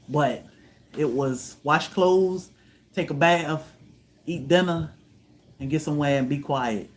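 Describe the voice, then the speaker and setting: A man from Virginia, hosting a homestead livestream, chatting with viewers in a relaxed way, often letting his voice trail off softly. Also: on the high side. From Virginia, USA. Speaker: homestead channel host. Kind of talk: livestream chat answering viewers.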